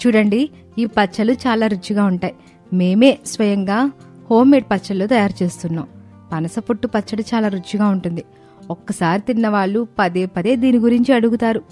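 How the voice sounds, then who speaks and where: A woman's voice: medium pitch, a little fast, warm tone, faint music behind, natural voice. A woman voicing an eager young woman friend in a story, earnest and persuasive. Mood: selling.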